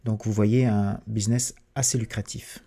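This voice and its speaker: A man narrating a documentary in a measured, analytical scripted voice-over. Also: lowish voice, little pitch movement.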